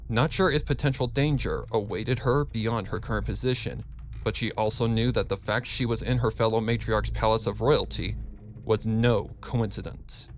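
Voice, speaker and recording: A man's voice: on the low side, slight background noise. A man giving a dramatic scripted narration of a story, as a fan fiction reader.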